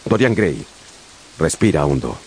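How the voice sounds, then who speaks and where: A man narrating a radio novel in a dramatic, suspenseful style with measured phrasing. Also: very deep voice, expressive, darkish tone, slight background noise. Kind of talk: dramatic scripted audiobook narration.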